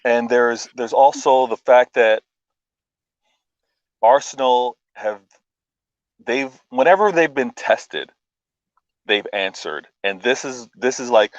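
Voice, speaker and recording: A man talking football as a stream guest, enthusiastic and casual, often pausing with "um". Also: medium pitch, dry recording, lo-fi sound. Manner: enthusiastic, fills pauses with "um". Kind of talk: casual chat.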